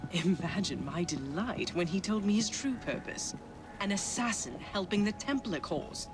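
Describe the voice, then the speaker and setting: A woman's voice: medium pitch, lively intonation, moderate pace, natural voice. An actress with an English accent playing a smug, ruthless businesswoman in a game, arch and silky as she relishes her words. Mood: smug delight. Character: smug, scheming businesswoman and villainess, elegant and ruthless. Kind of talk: video game cutscene acting. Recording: loud music behind the voice.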